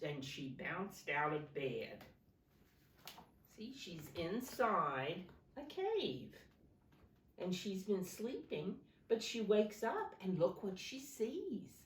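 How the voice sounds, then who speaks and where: A woman preschool teacher reading a story aloud to young children, explaining in simple words and asking them questions. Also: lowish voice. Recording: echoey room, slightly distant microphone.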